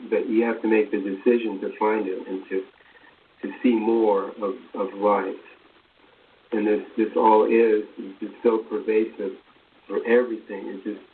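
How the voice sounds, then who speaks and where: A man giving a rambling spiritual talk in a halting, repetitive, earnest manner. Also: lowish voice. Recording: tinny phone line, distant, faint noise.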